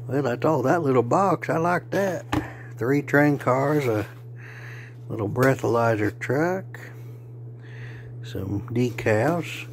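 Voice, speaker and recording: A man, hoarse and losing his voice, chatting in a folksy, joking way while vlogging on a phone held at arm's length. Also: medium pitch, slow, pauses often, slight background noise. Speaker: railfan vlogger. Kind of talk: casual folksy vlog. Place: outdoors by a car, then indoors at home.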